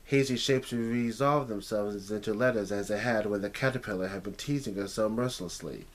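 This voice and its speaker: A man's voice: fairly low pitch, a little fast. A man narrating a story as if for an audiobook, occasionally stumbling and repeating phrases as he reads.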